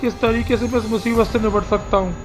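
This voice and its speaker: A performer voicing an earnest, protective male village headman in an animated Hindi story, speaking emphatically. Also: medium pitch, a little fast, natural voice. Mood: earnest, resolute. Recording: music behind the voice.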